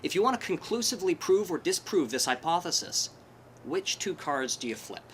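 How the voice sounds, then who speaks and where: A man giving a live talk to an audience, animated and wry, with a self-deprecating humor. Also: a little high, normal speed, slight background noise. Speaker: presenter at a skeptics' meetup. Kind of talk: conference talk. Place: presentation room, live audience.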